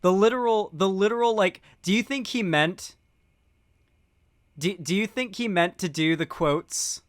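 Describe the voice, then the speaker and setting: A man's voice: high voice, unhurried, no room sound, studio quality. A man giving casual political commentary while stoned, rambling with lots of fillers and restarts.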